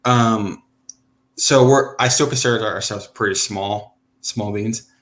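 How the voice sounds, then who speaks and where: A man in his thirties hosts a casual podcast chat, his voice sitting a little low but sounding bright and forward. He talks loosely, circling back with fillers and restarts as he thinks. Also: slow. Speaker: podcast host.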